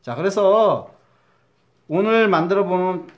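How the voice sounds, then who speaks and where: A man's voice: high-pitched, animated, slow, lo-fi sound. Chatty Korean man with a Chungcheong accent. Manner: chatty, repeats key words, drops to a mutter while measuring. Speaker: crafts teacher. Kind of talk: step-by-step craft demonstration.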